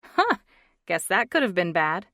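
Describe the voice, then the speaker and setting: A woman's voice: fairly low pitch, sing-song, measured pace, bright, forceful tone, no echo, full character voice. A woman voicing a frustrated woman in her thirties, wry and exasperated, in a dry, close reading. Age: 30s.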